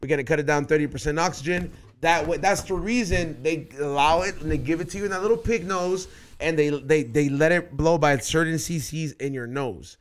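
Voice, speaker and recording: A man, hosting a podcast in casual chat, loud and swearing, telling stories on a studio mic. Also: on the high side, a little fast. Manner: loud, swearing storyteller who raises his voice for punchlines. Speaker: podcast host. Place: small studio room decorated with neon signs. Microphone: studio mic on boom arm, wearing headphones.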